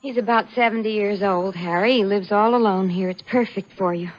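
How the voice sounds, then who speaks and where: A woman's voice: medium pitch, brisk, faint music behind, natural voice. An American actress playing a housekeeper wife who coolly plots with her crooked husband, clipped and insistent in 1940s radio thriller style. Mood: cool, persuasive. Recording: muffled vintage radio recording.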